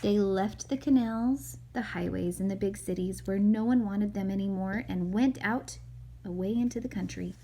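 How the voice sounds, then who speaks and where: A woman's voice: medium pitch, normal speed, dark tone, a little hiss or hum. A woman reading a picture book aloud to her young child, with casual asides as she goes.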